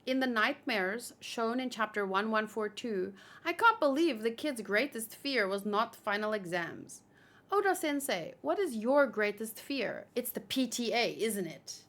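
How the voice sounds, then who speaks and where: A woman doing casual livestream commentary, reading aloud and reacting in a chatty, expressive way. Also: a little high, measured pace. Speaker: manga read-along streamer.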